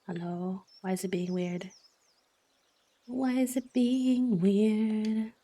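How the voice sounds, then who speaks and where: A woman streaming a game, chatting casually and thoughtfully with many fillers, her voice slightly croaky from just waking up. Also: mid-range voice, takes it slowly, mellow. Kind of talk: casual livestream chat while playing.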